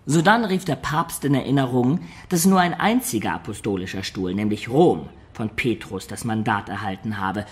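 An actor playing a wistful adventurer who recounts his life in a German radio play, in a measured, expressive storyteller's manner. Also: medium pitch, slightly rough. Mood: narrating. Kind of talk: dramatic first-person narration in German radio play.

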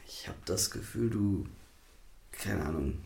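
Very deep, creaky worried friend. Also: male voice, expressive, very noisy, full character voice. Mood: hurt, reproachful. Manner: halting, reproachful. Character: worried, hurt male best friend, bluntly honest. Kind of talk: naturalistic audio drama dialogue.

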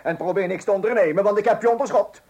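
A man's voice: high-pitched, fast, darkish tone, slightly rough, dull audio. An actor playing a fussy, bossy middle-aged husband and father in a radio comedy, muttering and stammering. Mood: alarmed, stern. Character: flustered, bossy husband and father, hunting a burglar at night. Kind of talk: radio comedy play acting and narration. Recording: noisy, clicky old radio play recording.

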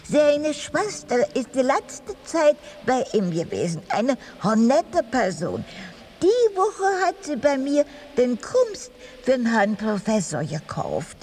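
High, animated gossipy townswoman. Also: slow, slight background noise, heavily acted. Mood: gossiping. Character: gossipy, awestruck simple townswoman viewing a corpse. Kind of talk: radio drama acting.

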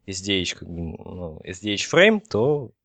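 A man's voice: sits low, big pitch swings, a little slow, fairly bright tone, no echo. A man explaining things in an informal technical discussion, feeling his way through and checking that listeners follow. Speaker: podcast guest explaining telecom technology.

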